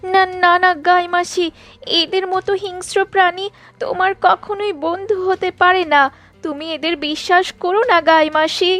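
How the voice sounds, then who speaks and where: Woman doing a high, jittery cartoon dog. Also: little pitch movement, moderate pace, a bit bright, faint music behind. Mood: alarmed. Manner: agitated, stammering. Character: anxious, distrustful cartoon dog.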